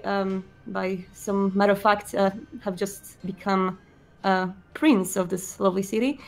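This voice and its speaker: A woman's voice: mid-range voice, close to an everyday voice. A woman playing a courteous, weary male vampire prince in a tabletop roleplay, speaking formally and hesitantly.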